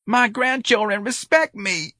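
Give me a man doing a weepy, frightened old grandfather, pleading in a high voice. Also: unhurried, bright, forceful tone, no room sound, clean studio sound, exaggerated voice. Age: older. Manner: pleading, tearful.

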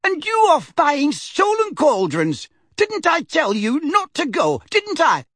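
A narrator voicing a frantic, fussy elderly woman neighbor who scolds and frets in a high, wavering voice. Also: big pitch swings, unhurried, fairly bright tone, slightly rough, no echo, studio quality, full character voice. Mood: furious. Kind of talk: audiobook character voice.

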